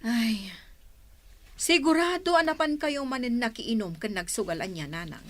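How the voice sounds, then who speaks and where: An actress playing an exasperated, dutiful grown daughter in an Ilocano radio drama, reproachful and pleading. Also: female voice, fairly high pitch, animated, normal speed, close to an everyday voice.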